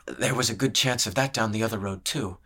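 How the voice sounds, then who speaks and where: A scrawny young thief answers in a strained, guarded voice, as a male narrator plays him. The tone sits low and stays wary. It is a character line from a fantasy audiobook. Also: fairly quick, sharp tone, husky, exaggerated voice.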